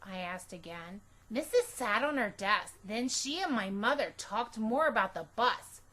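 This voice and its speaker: A woman reading a children's book aloud as a storytime reader, expressive and deliberate, telling it in a childlike first person. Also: mid-range voice.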